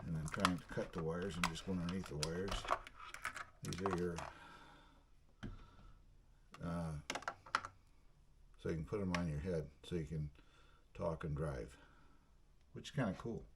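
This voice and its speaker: A man's voice: low-pitched, unhurried, long pauses. A man hosting a casual unboxing vlog, talking in a plainspoken, folksy way as he handles things. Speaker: video channel host. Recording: clearly noisy recording with clicks.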